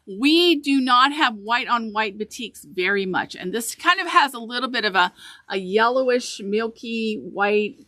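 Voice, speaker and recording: A woman in her fifties with a bright, slightly high voice gushes over fabrics in her shop and repeats each item number. Her voice is crisp and close, with a dry sound from a small camera on the counter. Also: lively intonation, unhurried, no room sound. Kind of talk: casual product showcase. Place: indoor fabric store among shelves. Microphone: small camera on table nearby.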